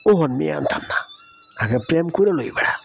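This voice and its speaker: A man's voice: fairly high pitch, expressive, fairly quick, gravelly, a little hiss or hum. A man voicing a talkative villager in a cartoon, speaking familiar rural Bangladeshi regional Bengali. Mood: insistent. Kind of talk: cartoon dialogue in colloquial dialect. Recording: music behind the voice. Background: music.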